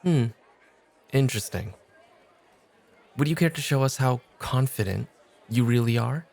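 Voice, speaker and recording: Man acting a cool, exacting club host, gravelly. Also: medium pitch, animated, a little mellow, a faint crowd. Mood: challenging. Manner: challenging, measured, coolly teasing. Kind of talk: ASMR roleplay acting.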